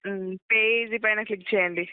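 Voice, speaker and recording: A woman customer care agent speaks quickly over a narrow, tinny phone line. She gives step-by-step instructions, repeats them and checks that the caller follows. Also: medium pitch, steady tone, smooth. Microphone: telephone call.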